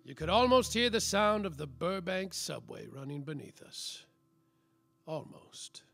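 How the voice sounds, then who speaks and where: An actor playing a world-weary, self-important detective, narrating a slapstick noir radio play in a pompous, hard-boiled manner. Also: male voice, fairly high pitch, animated, unhurried, gravelly, a bit bright, slight background noise, heavily acted. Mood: moody, hard-boiled. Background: music.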